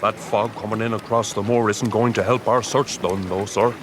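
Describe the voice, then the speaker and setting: Actor as low-voiced, grave, weary manservant. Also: male voice, fairly even intonation, noisy background, natural voice. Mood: grave, foreboding. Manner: measured, weary, plain-spoken. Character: grave, blunt, sceptical manservant and huntsman to a lord. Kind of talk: gothic audio drama acting.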